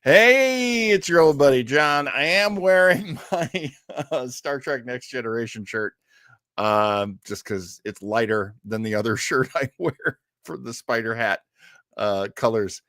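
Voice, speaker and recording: Man, casual live show host, slow-paced, very varied intonation, jokey, chatty. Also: mid-range voice, lively intonation, fairly bright tone, no echo, clean studio sound. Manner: chatty and jokey, often chuckling. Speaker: actor. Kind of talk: casual livestream chat to viewers.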